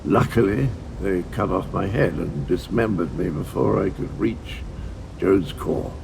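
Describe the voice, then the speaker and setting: An actor playing a gentle, muddled old undead knight in a video game, fading and speaking haltingly with a creaky, rueful voice. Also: male voice, low-pitched, lively intonation, noisy background, heavily acted. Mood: wistful. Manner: halting, wistful, rambling. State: fading, weakening. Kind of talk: video game character dialogue.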